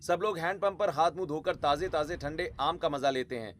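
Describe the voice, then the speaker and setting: High-pitched male story narrator. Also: a little fast, fairly bright tone, a little hiss or hum. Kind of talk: scripted Hindi story narration.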